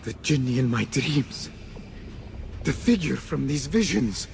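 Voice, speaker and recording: An actor playing a troubled assassin haunted by visions in a video game, speaking gravely and deliberately as he searches for answers. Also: medium pitch, animated, a little hiss or hum. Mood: anguished, brooding. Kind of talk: dramatic video game acting, brooding monologue.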